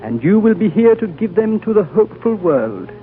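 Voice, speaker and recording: Grave old high lama, slow prophetic delivery, slightly high voice, tinny old radio sound. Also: male voice, sing-song. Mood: solemn. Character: ancient wise male high lama, solemn and gentle. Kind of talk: 1930s radio drama acting. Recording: old phone-like radio broadcast, faint music.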